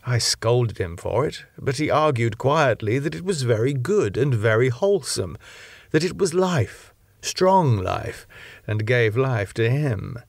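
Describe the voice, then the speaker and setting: A man narrating a classic novel as an audiobook in a measured, expressive, dramatic way. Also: mid-range voice, creaky, no echo, clean studio sound.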